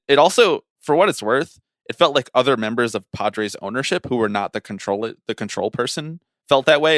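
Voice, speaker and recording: A man's voice: fairly low pitch, a bit bright, no echo, studio quality. A man in his twenties co-hosting a casual baseball podcast, laying out analysis in long, reasoned runs with repeated phrasing. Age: 20s. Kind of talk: casual sports talk, analysis.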